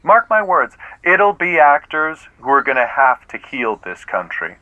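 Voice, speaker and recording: An actor playing a self-important, eager, rambling out-of-work stage actor in a comedic audio play, theatrical, effusive and earnest. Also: male voice, fairly high pitch, big pitch swings, unhurried, bright, forceful tone, no room sound, lo-fi sound, exaggerated voice. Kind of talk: naturalistic comedic audio drama.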